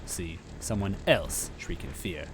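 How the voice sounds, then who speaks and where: A man's voice: low voice, slow, a little hiss or hum. A man narrating a story aloud in a dramatic first-person style.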